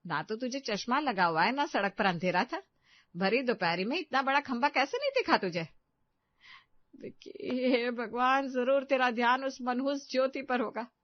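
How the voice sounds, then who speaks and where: A woman's voice: a little high, animated, normal speed, dry recording, natural voice. A melodramatic middle-aged mother, acted by a woman, overprotective and nagging. She scolds and pleads in a comic audio-story manner. The recording is close, dry and a little muffled. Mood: exasperated. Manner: scolding, emotionally blackmailing. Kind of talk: comedic Hindi audio story acting.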